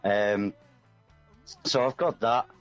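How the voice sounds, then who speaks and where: Musician guest, male, forties, lowish voice, casual and self-deprecating, dull audio over faint music. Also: takes it slowly. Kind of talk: casual radio interview chat.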